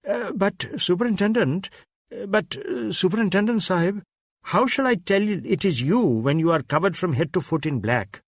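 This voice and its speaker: A male reader as a meek inspector in a crime drama. He is polite and hesitant, with a raised pitch, recorded dry. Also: animated, moderate pace, breathy edge, no echo, heavily acted. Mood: flustered, meek. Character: meek, deferential male Indian police inspector.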